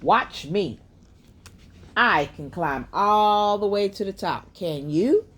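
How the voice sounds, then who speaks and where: A woman's voice: medium pitch, lively intonation, slow pace, voice pushed up. A woman voicing a curious, playful little girl in a children's storybook. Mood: playful, eager. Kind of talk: storybook character voice.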